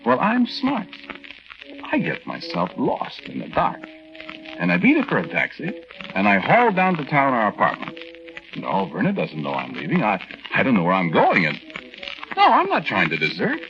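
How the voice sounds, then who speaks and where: A man's voice: mid-range voice, wildly swooping pitch, normal speed, faint music behind. An actor playing an aggrieved young soldier husband who tells his story in a folksy, hesitant, conversational way in a 1940s radio drama. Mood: tense, confiding. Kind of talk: 1940s radio drama first-person narration. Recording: dull old 1940s radio recording.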